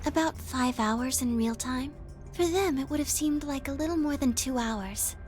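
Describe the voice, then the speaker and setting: Game role for a woman: dutiful young caretaker, high soft-mannered voice, composed, exact, light music. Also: normal speed, lightly acted. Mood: calm, informative. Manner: polite, precise, explanatory. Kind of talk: video game voice acting.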